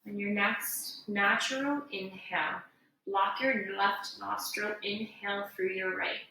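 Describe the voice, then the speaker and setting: A woman giving guided meditation instruction as a yoga teacher, in a gentle, unhurried, soothing way. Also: medium pitch, fairly even intonation, gravelly. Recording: echoey room, distant mic, faint noise.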